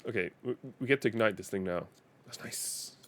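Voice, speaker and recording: Danish-accented man with a lowish voice. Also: fairly quick, fairly bright tone. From Denmark. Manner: chatty, laughs often, repeats words as he restarts. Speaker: streamer. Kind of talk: casual live-stream gaming commentary.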